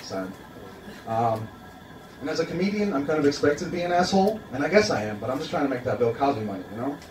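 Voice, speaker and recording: A man's voice: on the high side, lively intonation, fast. A young man from Queens doing a stand-up comedy set, dry and self-mocking with lots of ums and uhs. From Queens, New York. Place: small open-mic café stage, indoors. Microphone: handheld mic, filmed from audience. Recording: roomy, distant phone recording with noise. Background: audience laughter and room noise.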